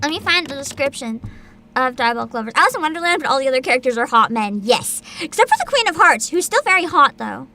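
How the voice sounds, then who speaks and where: A woman streamer in casual livestream chat, excitable and giggly, rambling with frequent laughter. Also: high voice, quick pace. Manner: reads a plot description aloud with asides. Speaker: VTuber streamer.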